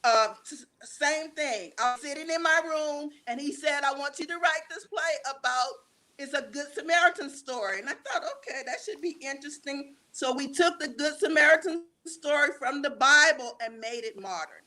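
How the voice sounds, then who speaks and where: A woman giving personal testimony as an interview guest, speaking emphatically and deliberately with dramatic pauses. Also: sits high, pushed and bright. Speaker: guest, stage play writer and director.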